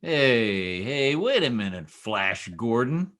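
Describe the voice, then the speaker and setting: A man's voice: mid-range voice, sing-song, takes it slowly, fairly bright tone. A man playing a boastful, folksy small-town husband full of slangy bluster in an old-time radio comedy. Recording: video call recording.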